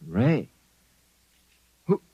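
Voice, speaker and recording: An actor playing a desperate, drugged private detective, speaking in halting pleas with a husky voice in 1950s radio drama style. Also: male voice, no echo, dull audio. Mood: groggy. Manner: halting, stammering, pleading.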